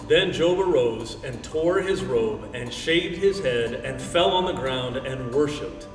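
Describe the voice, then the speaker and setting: A man's voice: medium pitch, expressive, slow pace. Guy narrating a bible play, far from the mic, music playing behind. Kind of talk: scripted Bible drama narration. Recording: echoey hall, distant, clear music behind.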